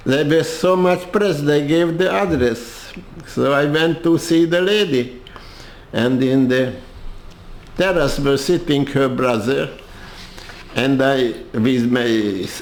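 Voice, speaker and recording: An older man with a Hungarian accent telling his life story in an interview, halting and restarting his sentences often. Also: mid-range voice, roomy sound, low-quality recording. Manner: halting, with many restarts and repeated words. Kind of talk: personal storytelling.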